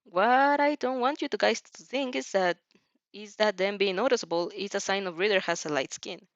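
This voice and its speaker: A woman's voice: mid-range voice, normal speed, dry recording. A woman reads fan fiction expressively and drifts into chatty asides. Her voice is recorded close and dry, with a slightly muffled lo-fi sound. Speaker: fan fiction reader and reactor. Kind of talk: expressive story reading with casual asides.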